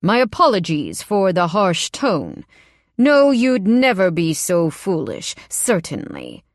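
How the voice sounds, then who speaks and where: A woman's voice: fairly low pitch, wildly swooping pitch, slow, dry recording, studio quality, heavily acted. A woman voicing a tall, cold, sinister man with a vaguely British accent, clipped and menacingly courteous. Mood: icily polite. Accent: vaguely British, not quite British or American.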